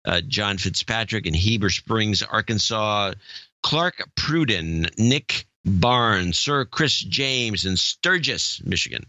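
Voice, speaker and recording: A man reads donor names in a slow, unhurried way, his low voice carrying a bright, forward edge. He stops to puzzle over pronunciations and throws in quick jokes. The sound is dry and a little dull. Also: dry recording. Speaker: podcast co-host reading donor names. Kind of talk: casual chat.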